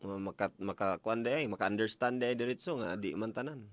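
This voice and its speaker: A man's voice: fairly low pitch, normal speed. Filipino male radio host over music. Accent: Filipino, Cebuano. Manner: prompting callers with questions. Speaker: radio show host taking listener calls. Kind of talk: radio call-in chat. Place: radio studio. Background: music bed.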